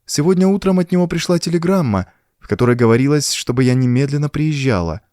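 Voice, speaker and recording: A frightened, bitter young woman acted out by a male narrator confides her troubles in an audiobook story. The delivery is soft and plaintive, with very mobile pitch, in a dry, close recording. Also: animated, quick pace, no room sound, lightly acted. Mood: anxious, confiding. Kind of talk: audiobook character dialogue.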